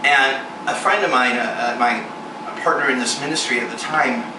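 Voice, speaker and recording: A man in his forties giving a candid, self-mocking personal testimony sermon, with sudden jumps in pitch for emphasis. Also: normal speed, far from the mic. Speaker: ministry performer speaking to a congregation. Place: large echoey venue room with air conditioning hum. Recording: echoey room recorded from afar with loud air-conditioner hiss.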